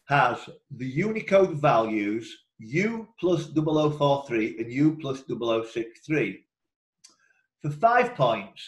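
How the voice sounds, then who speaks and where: Man, quiz host, highish pitch, very varied intonation, informal asides, question reading, echoey room. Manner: chatty, informal, breaking off into asides. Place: indoor room, online video call. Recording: roomy echo.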